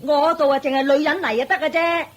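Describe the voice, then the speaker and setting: An actress playing a scornful, bossy middle-aged mother-in-law in a radio drama, with a Hong Kong Cantonese accent and a sharp, sneering delivery. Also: sits high, fairly even intonation, fast, lo-fi sound, natural voice. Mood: scornful, domineering.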